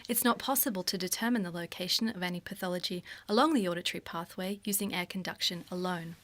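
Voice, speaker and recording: A woman reading scripted instructional narration in a formal, measured, explanatory way. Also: fairly low pitch, a bit bright. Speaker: narrator of a training video.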